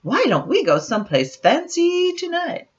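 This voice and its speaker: A woman's voice: high-pitched, very animated, normal speed, voice pushed up. An older woman voicing an affectionate mother who plays along at being posh in a picture book. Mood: fond, genteel. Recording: dull, lo-fi home webcam sound.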